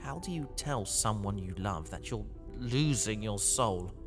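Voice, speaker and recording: A man playing an anguished young fugitive recording a journal in a sci-fi audio drama, brooding and halting. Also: lowish voice, lively intonation. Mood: brooding, weary. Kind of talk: science fiction audio drama monologue. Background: music.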